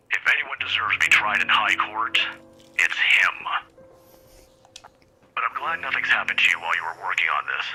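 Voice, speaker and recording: An actor playing a mild-mannered, caring middle-aged male professor in a visual novel, gentle and earnest through a telephone filter. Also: low-pitched, full character voice, low-quality recording. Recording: phone-filtered voice over music.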